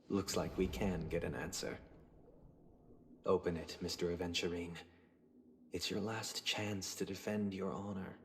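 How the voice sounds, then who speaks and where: An actor playing a suave, commanding inquisitor in a video game, solemn and theatrical, questioning with ceremonious formality and cool menace. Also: male voice, sits low, flat delivery, unhurried, pauses often, big-room sound, a little hiss or hum. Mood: smug, pressing.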